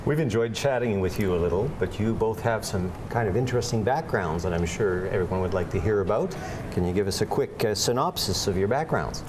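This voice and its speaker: A man's voice: fairly low pitch, lively intonation, brisk, few pauses, background noise. A middle-aged man co-hosting a TV interview, asking guests questions. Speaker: TV co-host. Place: indoor TV studio.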